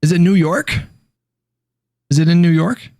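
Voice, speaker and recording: Informal man, slightly high and dry-sounding. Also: a little slow, a little mellow, no echo. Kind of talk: casual storytelling, partly reading an article aloud.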